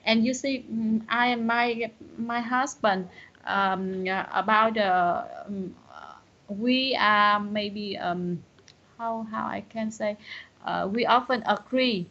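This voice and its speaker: Halting, slow woman with a Vietnamese accent. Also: medium pitch, a bit bright, dull audio. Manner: halting, searching for words, many restarts. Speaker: student. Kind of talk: online English conversation lesson.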